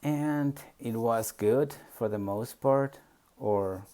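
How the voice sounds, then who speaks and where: A man's voice: mid-range voice, takes it slowly, dark tone. A man from Peru with a Spanish accent, telling his life story in a hesitant, reflective way with many fillers. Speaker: memoir storyteller. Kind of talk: personal reminiscence.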